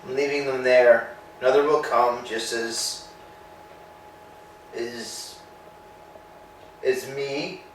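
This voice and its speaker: Guy playing a tired gloomy tramp, super slow deadpan, echoey and far away. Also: medium pitch, close to an everyday voice. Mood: weary. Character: weary, gloomy male tramp. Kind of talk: staged play reading. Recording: echoey room, far mic, faint hiss.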